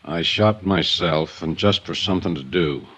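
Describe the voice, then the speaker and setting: A man's voice: low-pitched, normal speed, pushed and bright, slightly rough, exaggerated voice. An American man playing a proud, wounded ex-convict in a 1950s radio drama, terse, stoic and sardonic. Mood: stoic, weary. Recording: dull vintage radio recording.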